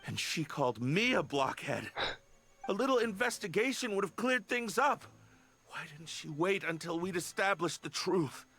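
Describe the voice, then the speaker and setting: Gravelly, sober guard captain. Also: male voice, high voice, sing-song, normal speed, fairly bright tone, faint music behind, exaggerated voice. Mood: grieving, troubled. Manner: terse, sober. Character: gruff, pessimistic, dutiful male guard captain. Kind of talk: video game voice acting.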